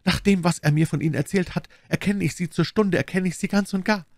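A man's voice: sits high, quick pace, gravelly, darkish tone, no echo, clean studio sound, voice pushed up. A man voicing a scheming, effusive noblewoman in a dramatic audiobook. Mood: gushing, false warmth. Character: scheming adult noblewoman, false, intense, gushing.

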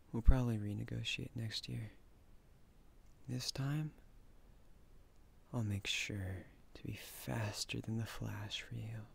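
A man playing a tender, bashful, nerdy young husband in an intimate ASMR roleplay, speaking softly and breathily, often half-whispering. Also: on the low side, creaky, breathy edge, exaggerated voice. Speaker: voice actor in an audio roleplay.

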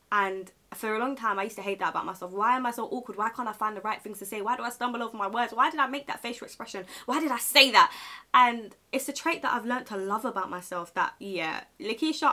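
A woman's voice: a little high, pushed and bright. A young woman chatting casually in a motivational way, candid and emphatic, repeating phrases to drive points home. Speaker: vlogger giving self-esteem advice. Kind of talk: casual motivational chat to camera.

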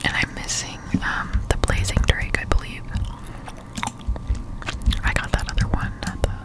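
A woman whispering casual ASMR game commentary close to the microphone while chewing gum. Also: moderate pace. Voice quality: unvoiced whisper. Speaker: streamer playing a video game. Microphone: close-up desktop studio microphone.

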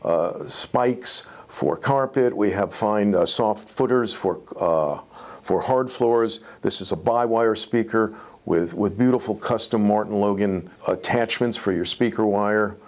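A man's voice: fairly low pitch, a little slow. A man in his sixties giving an informal product presentation to camera as a company presenter. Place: medium-sized indoor room with acoustic wall panels.

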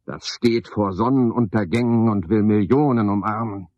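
An actor playing a pedantic, misanthropic night porter in a radio drama, a man with a husky, gravelly voice and a pompous, sardonic manner. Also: fairly low pitch, normal speed, dark tone, no echo. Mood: scornful, ranting. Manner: pompous, sardonic, ornate wording. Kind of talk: 1970s German radio drama monologue. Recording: dull, dry 1970s radio recording.

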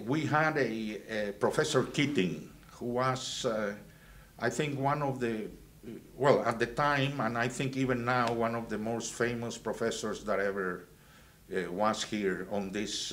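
A man, from Venezuela and raised in Guatemala, recalling his student years in a halting way with many fillers and restarts. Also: fairly low pitch, fairly bright tone. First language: Spanish. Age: 70s. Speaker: alumnus interviewee. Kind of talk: reminiscing interview. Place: indoors, plain backdrop.

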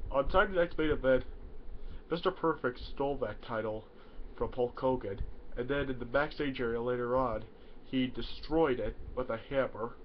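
Young guy with a head cold, rambling bedroom vlog, lo-fi webcam mic. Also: medium pitch. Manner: rambling, many "yeah" fillers and restarts. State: stuffy nose from a cold. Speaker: YouTuber. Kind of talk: casual to-camera explainer. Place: small bedroom indoors. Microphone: webcam in front of him. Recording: dull lo-fi webcam audio, faint hiss.

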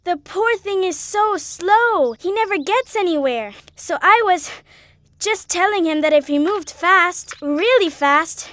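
A woman's voice: extremely high pitch, unhurried, no echo, studio quality, exaggerated voice. A woman voicing an eager, impatient young cow in a children's cartoon, talking emphatically. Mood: earnest. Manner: excitable, emphatic, exclaiming.

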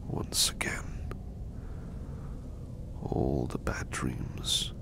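A man playing a gentle, protective servant in an ASMR roleplay, speaking in a soft breathy whisper with tender, polite reassurance. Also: drawn-out words. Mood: soothing, attentive. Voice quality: soft, breathy whisper with low creaky rasp. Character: gentle, protective male servant soothing his master to sleep. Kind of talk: ASMR roleplay, personal attention.

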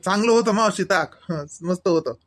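A man's voice: high-pitched, a little fast, no room sound, studio quality, natural voice. A man playing a humble, polite village delivery driver in a comedy cartoon, folksy and plain-spoken, with a Varhadi Marathi accent. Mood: friendly.

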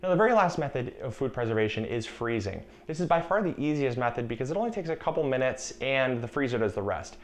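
A man's voice: medium pitch, lively intonation, quick pace, hardly any pauses. A young man in his twenties, chatty and instructive, talking through a canning demonstration in a home kitchen on a lapel mic. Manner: chatty, instructive, repeats key warnings. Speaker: gardening channel host. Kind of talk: casual cooking demonstration.

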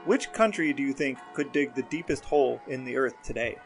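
A man's voice: mid-range voice, brisk, music in the background. A middle-aged man co-hosting a podcast, chatting casually and asking questions. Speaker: podcast co-host. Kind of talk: casual chat and interview questions.

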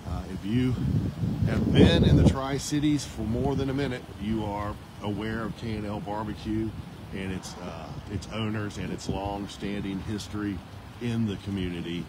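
A veteran male field reporter talking unscripted on scene, halting and repeating words with many fillers, filmed on his own phone in an outdoor parking lot. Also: lowish voice, steady tone. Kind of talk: live on-scene news report. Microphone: phone held by the reporter. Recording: noisy outdoor phone recording. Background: outdoor traffic noise.

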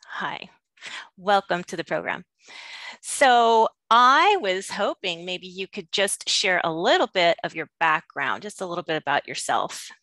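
A middle-aged woman hosts an interview from home over a video call. Her voice is bright and a little low, with a dry, close webcam sound. She asks her questions gently and at a measured pace. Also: animated, no room sound. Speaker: podcast host. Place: home room with window. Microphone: video call, webcam mic.

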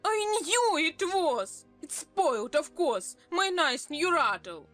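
A woman's voice: very high voice, big pitch swings, slow pace, fairly bright tone, soft background music, heavily acted. A woman playing a petulant storybook twin brother who recites verse theatrically. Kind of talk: dramatized audiobook acting.

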